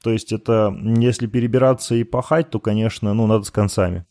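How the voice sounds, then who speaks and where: Casting: man from Chelyabinsk, rapid chatty interview guest, dry close sound. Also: lowish voice, fairly even intonation, mellow, no echo. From Chelyabinsk, Russia. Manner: quick, chatty and joking, with playful asides. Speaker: podcast guest. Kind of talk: casual interview chat.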